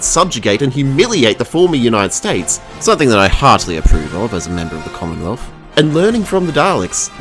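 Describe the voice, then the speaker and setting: An Australian man delivering a scripted review narration with irreverent, crude humour. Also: medium pitch. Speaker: video reviewer.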